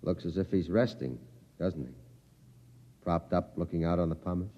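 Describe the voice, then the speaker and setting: Gruff low-voiced spaceship pilot. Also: male voice, mellow, lo-fi sound. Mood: subdued. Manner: brisk, terse, commanding. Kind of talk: 1950s radio drama acting. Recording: noisy vintage radio recording.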